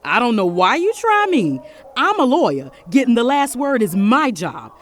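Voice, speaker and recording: A woman playing a smug, sharp-tongued lawyer with a sassy manner in audio drama dialogue. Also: on the high side, sing-song, moderate pace, a little hiss or hum.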